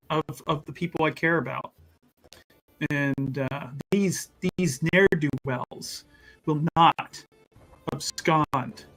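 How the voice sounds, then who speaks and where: Man as a bright-voiced, self-important scheming rogue. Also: on the high side, full character voice, rough recording. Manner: halting, grandiose, evasive, many repeats. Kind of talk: improvised in-character tabletop roleplay.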